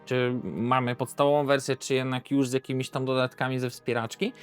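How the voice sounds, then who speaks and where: A man's voice: medium pitch, flat delivery, a little fast, soft background music. A Polish man presenting an informal, unscripted board game video review, hesitating and often correcting himself as he goes.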